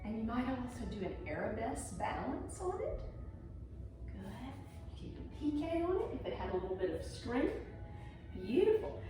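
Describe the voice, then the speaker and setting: A woman's voice: on the high side, sing-song, moderate pace, music in the background. Woman teaching dance in a very echoey room. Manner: encouraging, simple step-by-step explaining with short prompts. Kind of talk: instructional video lesson, demonstrating to pupils. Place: indoor room at home.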